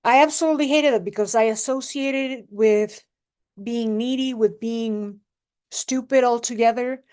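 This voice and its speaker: A young adult woman, a relationship coach giving advice in an unhurried, reflective way and often checking in with the listener. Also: a little high, a little mellow. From Mexico. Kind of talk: casual advice monologue to camera.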